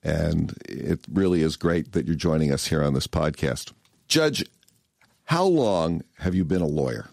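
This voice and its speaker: A man's voice: rumbling low, expressive, a little slow, vocal fry, darkish tone, no echo, studio quality. An American man hosting a podcast, interviewing his guest conversationally.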